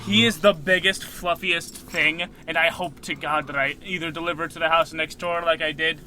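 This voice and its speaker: Casual podcast co-host, man, bright tense tone, quick quips, faint hiss. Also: a little high, fairly even intonation. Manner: reacts with short quips.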